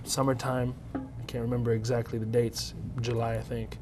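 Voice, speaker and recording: A man in his thirties, a stand-up comedian, telling his story in an interview, lively and acting out what people said. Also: medium pitch, normal speed, over music. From United States. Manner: animated storyteller, acts out quoted voices.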